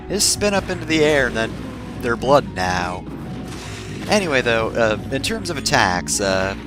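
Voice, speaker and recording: Guy doing a lets play, super animated voice, rambly and sarcastic, music playing behind him. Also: medium pitch. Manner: wry, sarcastic asides, often digressing. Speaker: video game let's-play host. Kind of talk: casual, rambling gameplay commentary.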